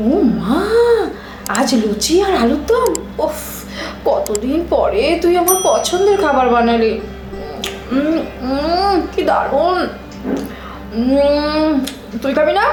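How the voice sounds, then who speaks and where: Woman acting a very high-voiced, coaxing younger sister. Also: takes it slowly, long pauses. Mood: cheerful, playful. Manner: coaxing, expressive, affectionate. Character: affectionate, scatterbrained younger sister, playful and coaxing. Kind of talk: Bengali audio drama acting. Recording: echoey room, music bed.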